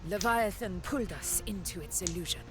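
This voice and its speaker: A medium-pitched female voice from an actress for a noble warrior leader in a game. She sounds measured, solemn and earnest over clearly audible music. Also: close to an everyday voice. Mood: resolute. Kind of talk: dramatic fantasy game acting.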